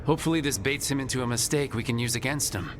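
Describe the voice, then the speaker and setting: A man acts as an earnest young-hero type leading a team in a fantasy game. The voice is medium in pitch and conversational. It rings with long reverb over noticeable background noise. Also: quick pace, close to an everyday voice. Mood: attentive. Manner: plain-spoken, conversational. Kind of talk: video game dialogue acting. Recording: echoey hall, clear background noise.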